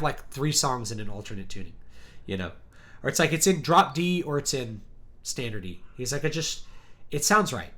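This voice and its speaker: A man hosting a casual chat, talking in a rambling, animated way and acting out quotes, his deep voice worn because he is sick. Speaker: livestream host. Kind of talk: casual chat about music.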